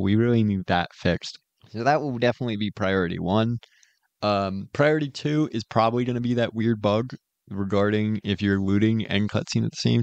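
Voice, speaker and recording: Low, creaky-voiced man giving updates. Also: darkish tone, no echo, muffled recording. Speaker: game mod developer giving a progress update. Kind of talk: informal devlog voice-over.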